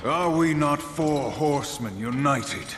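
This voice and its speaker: An actor playing a stern, calm, commanding horseman of the apocalypse in a video game, measured and authoritative. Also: a little high. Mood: stern, grave. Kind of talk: video game cutscene acting. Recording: echoey hall reverb, faint noise.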